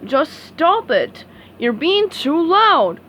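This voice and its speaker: Woman doing a cartoon squid, male, grumpy, snarling, slow. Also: sits high, sing-song, fairly bright tone, slight background noise, pitched above the performer's own voice, heavily acted, low-quality recording. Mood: irritated.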